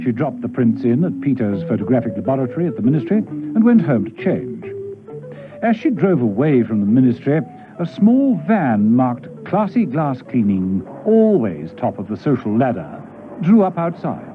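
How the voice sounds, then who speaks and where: A man's voice: mid-range voice, sing-song, normal speed, lightly acted. A man acting as the storytelling narrator of a classic spy radio drama, reading scripted narration. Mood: measured storytelling. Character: male narrator of a spy adventure. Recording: tinny, band-limited old radio broadcast, music behind.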